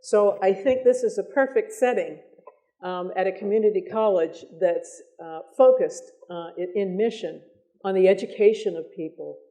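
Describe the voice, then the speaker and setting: An American woman moderating a public discussion, speaking in articulate, deliberate phrases with emphasis on her points. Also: medium pitch, dark tone.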